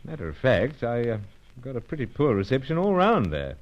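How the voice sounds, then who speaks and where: An actor playing a suave secret agent, urbane, hesitant and wry, in a radio serial. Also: male voice, medium pitch, wildly swooping pitch, brisk. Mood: placating. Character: suave, bantering British male secret agent. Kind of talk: old-time radio serial acting, dialogue and narration. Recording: dull lo-fi old radio recording.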